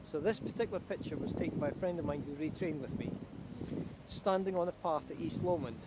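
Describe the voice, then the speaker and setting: Chatty middle-aged man outdoors, higher voice. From Kenya. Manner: chatty and self-assured, quick asides. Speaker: paragliding instructor. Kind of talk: casual chat to camera outdoors. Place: outdoors by a beach. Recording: outdoor wind and traffic noise.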